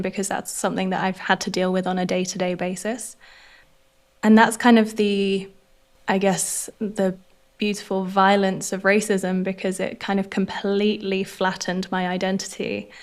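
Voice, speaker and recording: A young adult woman from Indonesia speaking as a guest over a remote call, in a thoughtful, unhurried way with frequent pauses. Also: mid-range voice, darkish tone. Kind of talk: reflective conversation.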